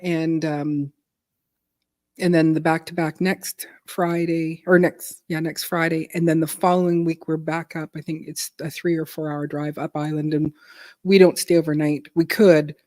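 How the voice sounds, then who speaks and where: A middle-aged woman from Canada hosting a casual live stream, chatty, laughing often and clearing her throat. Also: low-pitched, level tone, moderate pace, dark tone, no room sound, studio quality. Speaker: host.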